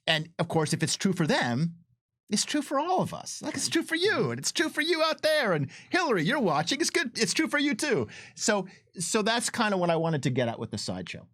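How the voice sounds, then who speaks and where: A man, a game developer, telling stories in an emphatic, animated way in a casual unscripted livestream chat. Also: high voice, a little fast, dry recording, clean studio sound. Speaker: game developer giving commentary.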